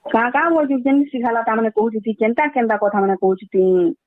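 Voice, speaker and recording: A woman's voice: fairly high pitch, normal speed, no echo. A middle-aged woman, insistent and repetitive, defending herself on a recorded phone call. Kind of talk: heated phone argument in colloquial speech.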